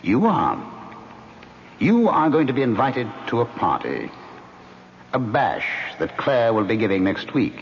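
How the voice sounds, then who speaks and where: An actor playing a smug male ghost in a radio drama, suave, mocking and insistent as he calmly schemes. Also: mid-range voice, unhurried, pauses often. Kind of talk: 1970s radio drama acting. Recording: muffled vintage radio recording, hissy.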